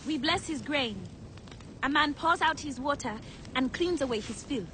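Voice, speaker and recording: An actress playing a demure, evasive young temple priestess in a video game, with a careful, hesitant delivery. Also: female voice, high-pitched, lively intonation, moderate pace, fairly bright tone, a little hiss or hum, low-quality recording. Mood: dutiful.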